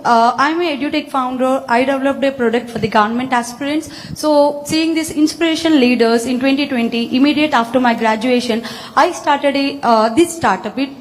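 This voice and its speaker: A woman in her twenties with an Indian accent, making an earnest, unscripted request from the audience as a startup founder. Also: high-pitched. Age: 20s. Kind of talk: unscripted public request to a leader. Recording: echoey hall microphone with background noise.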